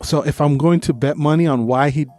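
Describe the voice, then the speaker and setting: Higher-voiced man, casual podcast co-host. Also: fairly quick, darkish tone, dry recording. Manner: opinionated, informal. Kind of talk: casual film review chat with trivia.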